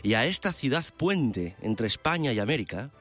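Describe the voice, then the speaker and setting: A man delivers a radio chronicle. His pitch is average and his phrasing is eloquent and descriptive. Faint music plays behind him. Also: sing-song, normal speed, a bit bright, slightly rough. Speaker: radio news presenter. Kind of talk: live radio chronicle, partly scripted. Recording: music bed under the voice. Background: background music.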